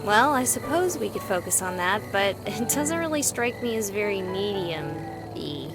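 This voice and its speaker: A woman's voice: medium pitch, big pitch swings, measured pace, loud music behind the voice, rough recording. A woman playing a playful, self-doubting young woman in a visual novel game, hesitant and lightly teasing. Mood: thoughtful, teasing.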